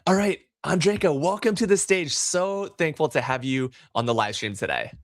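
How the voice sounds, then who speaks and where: A man's voice: a little high, animated, moderate pace, no room sound, clean studio sound. A man hosting a live-streamed business interview show, enthusiastic and effusive as he welcomes his guest. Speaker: podcast host.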